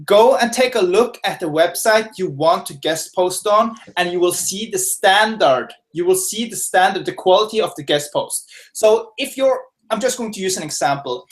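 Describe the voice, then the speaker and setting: A man's voice: sits high, lively intonation, moderate pace. A man from Norway, a guest expert giving casual, filler-heavy answers in a webinar interview from his home office. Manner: casual, chatty, lots of fillers and restarts.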